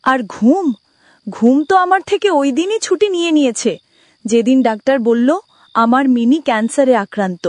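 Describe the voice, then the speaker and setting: A woman's voice: high voice, animated, normal speed, a little mellow, dry recording. A woman playing a grieving, worried mother in a melodramatic cartoon. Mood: despairing.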